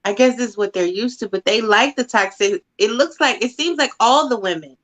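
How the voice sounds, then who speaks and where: An emphatic woman co-hosting a casual recap chat. She is opinionated and quick to laugh, talking in a loose, conversational way. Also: fairly high pitch, a bit bright. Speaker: podcast co-host.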